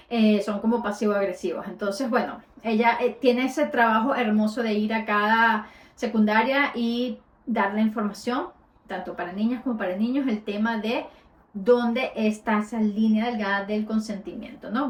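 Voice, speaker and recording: A woman's voice: a little high, roomy sound. A Latin American woman with a Spanish accent, a podcast host telling a personal story in a conversational way, with frequent hesitations.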